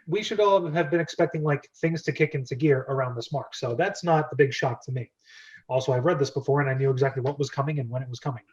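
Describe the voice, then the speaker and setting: A man with a slightly high voice leads a casual club discussion. He talks in a chatty, explanatory way. The sound is a little dull and lo-fi, like an online call. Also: darkish tone. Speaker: host of a script reading club. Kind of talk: casual group discussion with read-aloud script.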